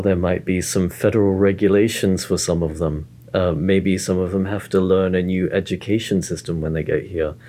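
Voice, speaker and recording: A man from student services, speaking earnestly and in a measured way in a casual interview. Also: low-pitched, dark tone.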